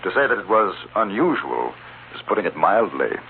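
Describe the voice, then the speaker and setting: An actor playing a cool, wry English newspaper correspondent in a 1950s radio western, speaking politely with precise understatement. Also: male voice, lowish voice, sing-song, normal speed, vocal fry, heavy background noise. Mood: measured narrating. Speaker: lead actor and narrator. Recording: tinny 1950s radio, music behind.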